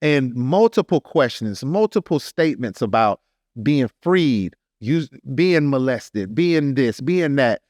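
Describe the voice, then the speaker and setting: A man's voice: mid-range voice, lively intonation, slow pace, a little mellow, dry recording, clean studio sound. A man with an American accent telling a personal story in a casual, earnest and emphatic podcast style. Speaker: podcast speaker, artist telling his story.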